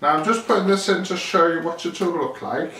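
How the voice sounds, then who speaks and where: A man's voice: on the high side, fairly quick, bright, forceful tone. A man giving a casual hands-on demonstration, thinking aloud in a rambling, self-deprecating way. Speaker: amateur DIY video maker. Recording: echoey, distant mic with hiss.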